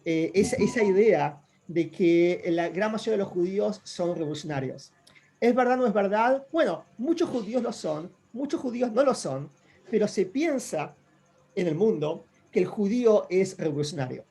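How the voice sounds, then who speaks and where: A man's voice: high voice, lively intonation, normal speed, gravelly, mellow. A man giving an academic talk over a video call, speaking thoughtfully with repeated phrases and many hesitations. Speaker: guest lecturer. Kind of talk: academic talk with audience questions.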